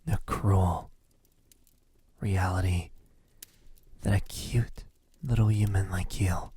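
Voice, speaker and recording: A man playing a lovesick, possessive, centuries-old vampire in an ASMR roleplay, brooding and wounded, in a husky, breathy voice that often sinks to a whisper, with halting pauses. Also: sits low, fairly even intonation, dark tone, full character voice. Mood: anguished, reproachful. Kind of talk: ASMR roleplay, slow dramatic monologue.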